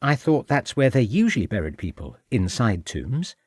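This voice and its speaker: A man's voice: medium pitch, very animated, moderate pace, darkish tone, slightly rough, dry recording, studio quality. A man doing an eager young Victorian wife. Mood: polite. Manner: gushing, pleading.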